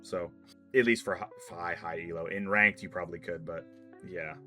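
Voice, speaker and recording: A man's voice: sits low, fairly even intonation, normal speed, bright, forceful tone, soft background music. A man in his late twenties hosting a casual gaming chat, asking questions and repeating his phrases. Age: late 20s. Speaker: host leading a tier list discussion.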